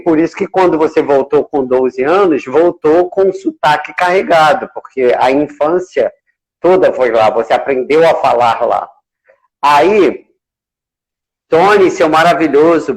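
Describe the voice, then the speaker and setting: A man's voice: fairly high pitch, unhurried, slightly rough, low-quality recording. A man hosting a casual live chat, enthusiastic and full of hesitant restarts. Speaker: host.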